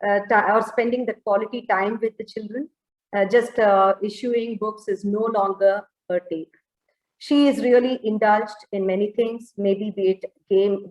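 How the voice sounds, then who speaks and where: A woman with an Indian accent speaking off the cuff as an education panelist, in a halting way with frequent self-corrections. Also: medium pitch. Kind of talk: extempore panel talk on education. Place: indoor room, online webinar. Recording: roomy, echoing online call audio.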